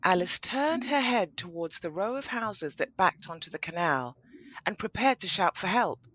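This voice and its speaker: A woman narrating a scripted audio story as an expressive storyteller. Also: on the low side, normal speed, a little hiss or hum.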